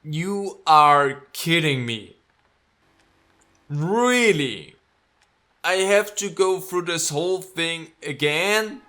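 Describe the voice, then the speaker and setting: A man giving casual let's play commentary as a gaming video host, haltingly thinking out loud as he goes. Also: on the high side, expressive.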